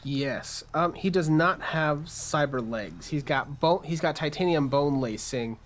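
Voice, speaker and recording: A man's voice: a little high, unhurried, a bit bright. A man serving as game master, casually improvising narration and rulings for a tabletop game.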